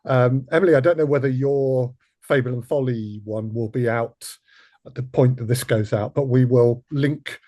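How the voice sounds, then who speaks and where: A man's voice: medium pitch, steady tone, normal speed, dark tone. A man hosting a podcast in a casual, conversational style. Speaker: podcast host.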